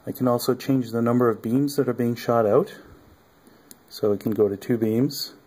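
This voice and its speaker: A man casually showing his electronics project at a home workbench, talking haltingly with many ums and uhs. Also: mid-range voice, dark tone. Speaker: hobbyist maker.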